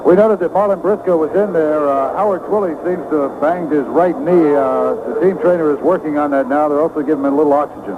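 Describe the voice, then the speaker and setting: Calm radio color man, hissy tinny line. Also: fairly high pitch, quick pace, hardly any pauses. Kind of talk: live football commentary. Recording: tinny old radio broadcast with hiss.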